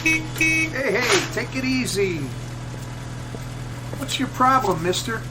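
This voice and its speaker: A man's voice: extremely high pitch, sing-song, slow pace, over loud music, exaggerated voice. An actor in a radio drama playing a terse, unimpressed small-town male gas station attendant with a folksy way of talking. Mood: irritated.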